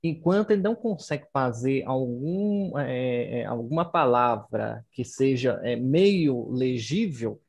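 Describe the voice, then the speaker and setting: A man's voice: medium pitch, animated, a little slow, gravelly, hardly any pauses, a little mellow, breathy edge. A Brazilian man, a student in an online class, speaking earnestly and hesitantly, searching for words over a video call.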